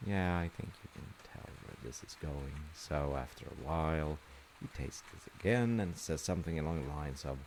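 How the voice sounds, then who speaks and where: A man playing a gentle, thoughtful dad, telling a folktale and chatting reflectively in a measured, intimate way with pauses. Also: rumbling low, a little hiss or hum. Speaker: storyteller, audio roleplay voice actor playing a dad. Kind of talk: intimate improvised-sounding bedtime storytelling and chat.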